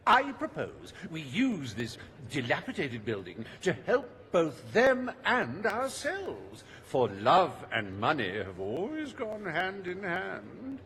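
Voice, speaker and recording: An actor voicing a foppish, greedy, scheming industrialist in a video game, pompous and drawling, with oily contempt for the poor. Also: male voice, on the high side, huge pitch swings, slow pace, few pauses, a bit bright, long reverb tail, full character voice. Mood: smug, persuasive. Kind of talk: theatrical video game dialogue.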